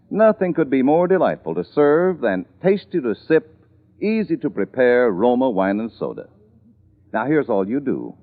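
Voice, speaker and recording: An American man working as a radio commercial announcer, reading an advertisement with 1940s salesmanship. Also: medium pitch. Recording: muffled narrow vintage radio broadcast.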